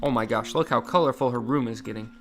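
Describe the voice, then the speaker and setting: A man's voice: medium pitch, quick pace, a bit bright, faint music behind. A man hosting a children's read-aloud, speaking expressively and directly to young listeners. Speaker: host reading children's books aloud. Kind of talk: animated read-aloud storytelling for kids.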